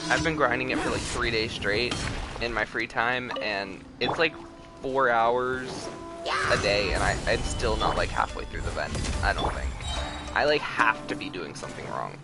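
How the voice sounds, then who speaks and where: A man's voice: medium pitch, normal speed. A man chatting casually as he streams a game, rambling with mock-exasperated asides. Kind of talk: casual livestream chat while gaming. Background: loud game music.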